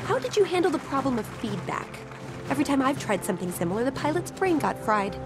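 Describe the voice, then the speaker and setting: An actress playing a haughty, sharp-tongued scholar of a small goblin-like race in a video game, dry and precise. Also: female voice, sits high, sing-song, quick pace, over music. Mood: appraising. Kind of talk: video game cutscene acting.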